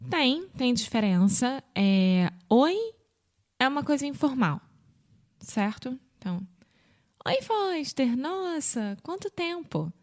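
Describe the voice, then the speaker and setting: Rio woman cohosting a language podcast, patient explaining, jumps up high when she acts out little greetings. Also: very animated, takes it slowly. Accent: Rio de Janeiro Portuguese. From Brazil. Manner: explains patiently, acts out example greetings with bright leaps in pitch. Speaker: podcast co-host teaching Portuguese. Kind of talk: casual teaching chat.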